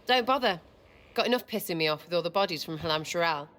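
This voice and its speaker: Cheeky rogue from a game, blunt and scornful, lowish but sharp edgy voice. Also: female voice, expressive, quick pace. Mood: annoyed, scornful. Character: cheeky, irreverent young female commoner rogue, blunt and scornful. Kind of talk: video game cutscene acting.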